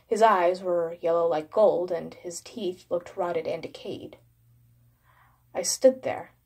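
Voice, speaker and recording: A woman's voice: on the low side, takes it slowly, a little mellow, slightly rough. A woman reading a first-person horror story as a dramatic scripted narration.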